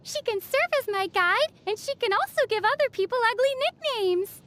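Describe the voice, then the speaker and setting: A woman voicing a young female traveler in a video game, composed and playfully teasing, with quick wit. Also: very high-pitched, animated, very noisy. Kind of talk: video game character dialogue.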